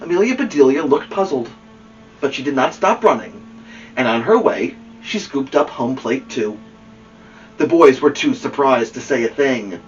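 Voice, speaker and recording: A man reading a children's storybook aloud as host, expressive and engaging with a young listener. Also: medium pitch, faint music behind. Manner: expressive, pausing to ask a child listener questions. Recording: muffled, distant microphone.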